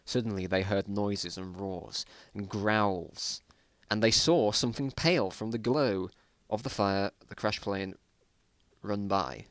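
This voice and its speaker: A man's voice: fairly low pitch, slow pace, a bit bright, no echo. A man giving an amateur dramatic reading of his own old story, with amused, self-mocking asides. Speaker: narrator.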